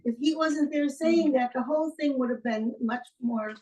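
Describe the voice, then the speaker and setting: Woman author, high voice, chatty, heard from a distance with faint room noise. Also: normal speed, dark tone. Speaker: author thanking hosts. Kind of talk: casual event remarks. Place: bookstore event room.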